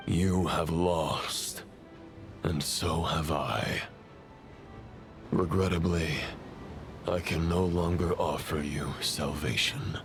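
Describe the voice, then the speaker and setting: A man playing a cold, solemn supernatural judge of souls in a video game, with grave, formal, measured delivery. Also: deep voice. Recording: music behind the voice.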